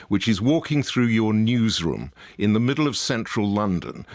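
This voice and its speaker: A man who is a campaigner and studio guest on a radio discussion, speaking in a loud, firm, argumentative way. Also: on the low side, no echo.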